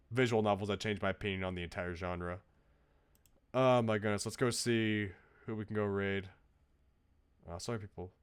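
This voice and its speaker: A man chatting casually on a livestream as a game streamer, enthusiastic and informal in how he talks. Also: on the low side.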